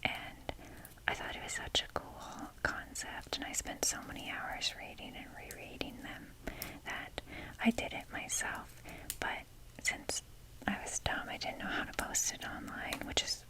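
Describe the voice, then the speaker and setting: Woman whispering slow, casual ASMR rambles. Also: rough recording. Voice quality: soft, breathy whisper. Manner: chatty, self-deprecating, mildly sweary. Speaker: ASMR video creator.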